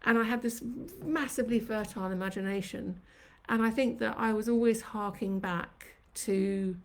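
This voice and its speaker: A woman's voice: medium pitch, darkish tone, slight background noise. Chatty woman in her fifties reminiscing. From Sri Lanka. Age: 50s. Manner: chatty and reflective, reminiscing at length. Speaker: host of her own channel. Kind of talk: live-streamed personal storytelling. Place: home kitchen.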